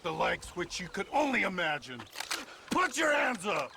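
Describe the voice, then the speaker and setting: A high, sharp voice for a defiant rebel in a game cutscene. He is bitter and accusing, with faint noise behind the words. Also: sing-song, moderate pace, full character voice. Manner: accusing, intense. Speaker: actor in a video game cutscene.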